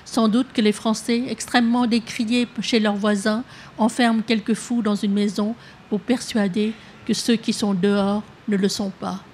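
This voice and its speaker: A woman writer whose first language is Vietnamese, reading her text aloud and then answering questions in a soft, hesitant way with many halting restarts. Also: mid-range voice, flat delivery, slight background noise. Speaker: author. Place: public talk with an audience.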